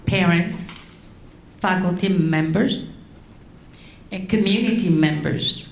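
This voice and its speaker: A middle-aged woman from Laos, raised in France, giving a prepared speech to an audience in slow, deliberate phrases. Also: fairly low pitch, echoey room, a little hiss or hum. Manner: slow, deliberate delivery with long pauses. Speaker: guest speaker.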